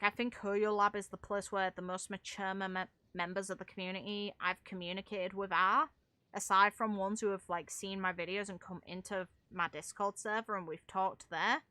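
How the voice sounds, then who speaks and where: A woman with a British accent and a bright, forward voice talks casually as she streams a game, with music behind her. She is blunt and opinionated, dropping in the odd mild swear as she chats. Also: medium pitch, no echo. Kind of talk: casual chat while playing a game.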